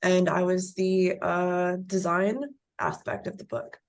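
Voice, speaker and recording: Female creative guest, slightly low voice, casual conversational delivery. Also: lively intonation, slow. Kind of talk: casual self-introduction.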